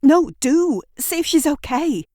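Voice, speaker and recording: A woman narrator voicing a shocked female neighbour in an audio drama, urgent, sharp and commanding. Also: sits high, expressive, unhurried, no room sound, clean studio sound, voice pushed up.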